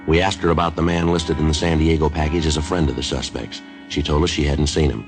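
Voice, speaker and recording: An actor playing a terse, matter-of-fact American police detective who also narrates a 1950s radio drama. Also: male voice, extremely low pitch, fairly even intonation, fast talker. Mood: matter-of-fact narration. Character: male Los Angeles police detective, terse and businesslike. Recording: old radio recording with music.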